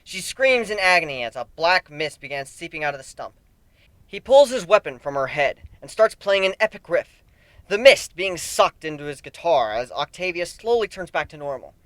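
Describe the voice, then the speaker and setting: Guy doing a dramatic story reading, amateur narrator, bright punchy voice, very animated, close dry sound. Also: fairly high pitch, moderate pace, dry recording. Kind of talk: dramatic reading of fan fiction, expressive narration.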